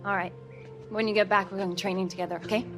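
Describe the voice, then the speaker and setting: A woman's voice: medium pitch, little pitch movement, fast talker, faint music behind, natural voice. A woman playing a fond, keen young relative in naturalistic game dialogue. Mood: fond, wistful.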